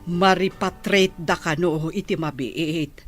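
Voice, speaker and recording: Actress as slow-talking, low-voiced Ilocano gossip. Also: female voice, over music. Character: gossiping village woman, unhurried and knowing. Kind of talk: Ilocano radio drama acting.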